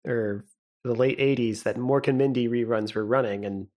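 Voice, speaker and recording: A young man co-hosting a podcast in casual chat, relaxed and rambling, laughing often. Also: mid-range voice, normal speed. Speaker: podcast co-host.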